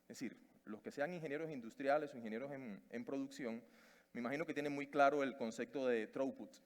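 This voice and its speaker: A man's voice: mid-range voice, fairly quick. A man from Cuba with a Cuban Spanish accent, giving a conference talk, hesitant with many fillers and restarts. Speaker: conference speaker. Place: conference stage in a large hall. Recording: long reverb of a large hall.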